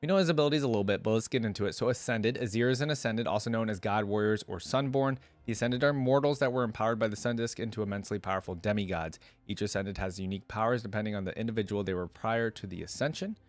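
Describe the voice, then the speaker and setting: A man doing casual, informal reaction commentary, switching between reading aloud and thinking out loud in asides. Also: lowish voice, quick pace, few pauses, no room sound. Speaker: reaction video creator.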